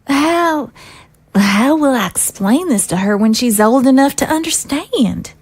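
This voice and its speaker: Actress as nervous sweet mom, kinda high voice, talks slow, audio drama. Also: female voice, expressive, husky, full character voice. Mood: hesitant. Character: anxious, gentle young mother, a leader's wife.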